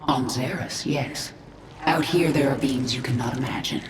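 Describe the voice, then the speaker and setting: A woman's voice: very deep voice, moderate pace, noisy background. A woman voicing a ruthless, cool alien queen in a video game, curt, controlled and commanding. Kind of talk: video game character acting. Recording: echoing, with music behind.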